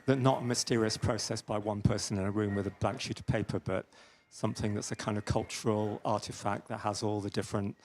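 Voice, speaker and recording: Man, slightly low pitch, audience questioner, considered and articulate, faint background noise. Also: flat delivery, fast talker, few pauses, a little mellow. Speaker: audience member asking a question.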